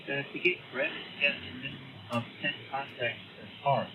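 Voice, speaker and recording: A man, an amateur radio operator, chatting in a relaxed, hesitant way over the air. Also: medium pitch, a little fast, highs cut off. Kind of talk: casual on-air radio chat. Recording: radio transmission with static.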